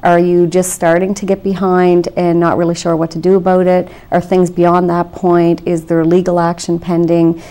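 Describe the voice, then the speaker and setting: A woman with a somewhat low, mellow voice, talking as a debt counselor on a television interview show. She explains in a measured, reassuring way and fills her pauses with "you know" and small restarts. The sound is a clean studio recording. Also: completely flat delivery. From Canada.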